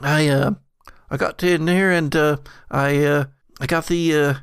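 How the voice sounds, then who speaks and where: A man plays a frightened young man in a horror roleplaying game, his voice pushed higher and tense. He stammers and repeats himself in jittery slang, sounding thoroughly rattled. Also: fairly even intonation, measured pace, smooth, no room sound, clean studio sound.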